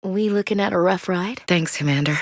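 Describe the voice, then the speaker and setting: A woman's voice: fairly low pitch, fairly quick, no echo, clean studio sound. A woman playing a tough, candid young space marine in a sci-fi video game, with an American accent and a blunt, wry military directness. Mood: urgent, commanding. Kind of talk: cinematic sci-fi game dialogue.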